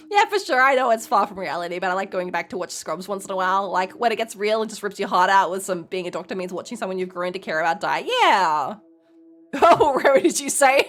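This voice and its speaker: A woman's voice: medium pitch, bright, forceful tone. A young woman streaming a game, chatting casually, blunt and swearing, laughing often. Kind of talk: casual live-stream commentary.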